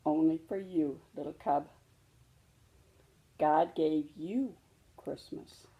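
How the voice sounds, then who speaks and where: A woman voicing a patient, loving mother bear in a storybook, explaining things gently and reassuringly. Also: low-pitched, takes it slowly, phone-quality sound. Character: patient, loving mother polar bear teaching her cub.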